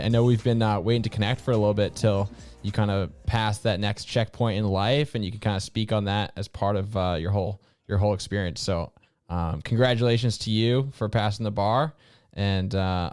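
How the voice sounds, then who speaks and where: A man's voice: lowish voice, a little mellow, dry recording, studio quality. A man hosting a podcast, interviewing in an informal, conversational way with colloquial phrasing. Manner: informal, says dude and like. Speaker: podcast host. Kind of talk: casual interview.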